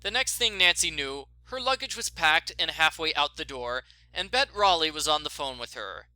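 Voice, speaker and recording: A man's voice: on the high side, expressive, normal speed, sharp tone, no room sound, rough recording. A man narrating a scripted story as a reader. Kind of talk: scripted fan fiction narration.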